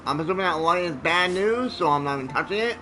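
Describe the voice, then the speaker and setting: A man's voice: a little high, expressive, fast talker, pushed and bright, a little hiss or hum. A man hosting a gaming video, giving casual commentary and short interjected reactions as he plays. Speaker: gaming channel host.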